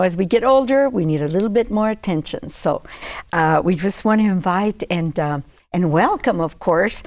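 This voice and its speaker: A woman's voice: mid-range voice, a little mellow. A woman hosting a TV interview show, friendly and a little hesitant with fillers. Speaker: TV show host.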